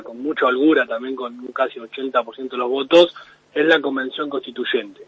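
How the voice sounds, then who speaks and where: A man explaining news analysis to a radio host as correspondent, steady and with frequent restarts. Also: mid-range voice, breathy edge, no room sound. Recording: phone-line call into radio.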